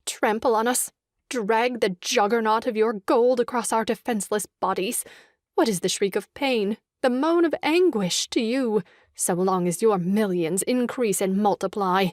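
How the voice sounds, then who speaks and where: An actress playing a wronged young wife in a farce, plaintive and theatrically self-dramatizing. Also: female voice, a little high, unhurried, darkish tone, dry recording, clean studio sound, lightly acted. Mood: melodramatic anguish. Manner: melodramatic, plaintive. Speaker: voice actor. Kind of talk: stage farce audio drama acting.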